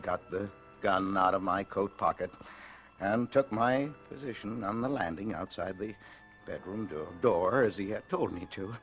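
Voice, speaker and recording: A man's voice: low voice, fairly bright tone, rough recording. An actor playing a timid, flustered, henpecked husband in 1940s radio drama, hesitant and stammering as he talks. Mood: anxious. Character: timid, henpecked, nervous husband telling his misadventure. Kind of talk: 1940s radio drama acting, first-person narration and dialogue. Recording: vintage radio recording, faint music.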